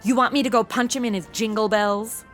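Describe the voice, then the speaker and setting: A woman's voice: on the high side, a little fast, soft background music, lightly acted. A woman playing a brash, irreverent, flirty modern sidekick in a comedy audio drama, flippant and full of wisecracks. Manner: flippant, sassy, deadpan wisecracks.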